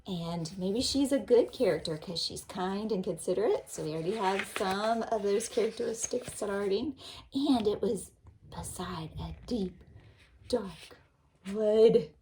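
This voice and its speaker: Woman teacher, mid-pitched, patient and slow-explaining, reads a storybook to children. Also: expressive, darkish tone, a little hiss or hum. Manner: patient, explains slowly to young listeners. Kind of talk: read-aloud lesson for children.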